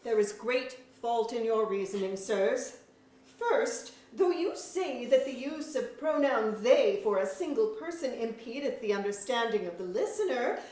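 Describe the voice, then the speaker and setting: A proud court chamberlain acted by a woman in a period-style play, high in voice and slow in delivery. The speech is emphatic, witty and formal, caught from a distance in an echoey auditorium. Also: a little mellow. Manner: rhetorical, emphatic, archaic formal diction. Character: proud, witty Lord Chamberlain of a fantasy court, loyal, scolding. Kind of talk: staged reading of mock-Shakespearean verse drama. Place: museum auditorium.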